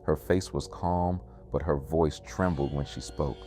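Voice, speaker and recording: A man with an extremely low voice narrates a horror story in a slow, measured way. His delivery is ominous and scripted, told in the first person. Music plays underneath. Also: fairly even intonation, warm tone. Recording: music behind the voice. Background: music bed.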